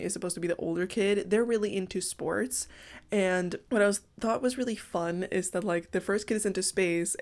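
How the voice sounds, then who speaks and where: A young woman with a congested, slightly raspy morning voice, rambling casually through a voice-over about a house she built in a game. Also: fairly low pitch. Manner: rambling, self-correcting, apologetic. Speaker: game building creator.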